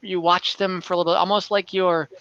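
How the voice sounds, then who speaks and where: A man improvising tabletop game narration as game master, in a halting, hesitant delivery. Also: high-pitched, flat delivery, a bit bright, smooth, dry recording, dull audio. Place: dry room, online game session.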